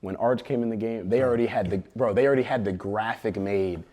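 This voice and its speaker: A young man, a college football player, in casual chat, telling stories in an animated, emphatic way. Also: lowish voice, fast. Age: young adult.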